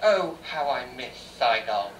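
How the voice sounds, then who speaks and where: A man's voice: medium pitch, sing-song, unhurried. A man playing a cheeky young pantomime hero, reciting comic verse on a small stage. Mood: cheeky, boastful. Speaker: amateur pantomime performer. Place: small theatre bar stage, indoors. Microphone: far camera. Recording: distant noisy echoey bar stage recording.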